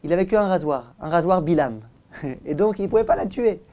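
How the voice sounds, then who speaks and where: Mellow-voiced rabbi telling a story. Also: male voice, sits high, expressive. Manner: dramatic pauses, addresses listeners as friends. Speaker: rabbi, teacher. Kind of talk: religious lesson told as animated storytelling.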